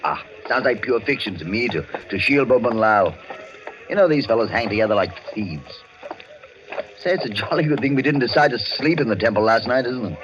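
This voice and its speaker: An actor playing a genial, talkative gentleman amateur sleuth in a 1940s radio drama, chatty, exclamatory and old-fashioned in his politeness. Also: medium pitch, expressive, a little fast, background noise, natural voice. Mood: chatty, confident. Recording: dull vintage 1940s radio recording.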